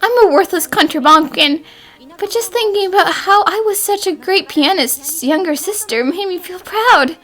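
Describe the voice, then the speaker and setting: Woman acting a very high-pitched, shy young countrywoman. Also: expressive, normal speed, fairly bright tone. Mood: wistful, confessing. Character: shy, self-deprecating young countrywoman, remorseful. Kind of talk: anime dub acting. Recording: background music under the dialogue.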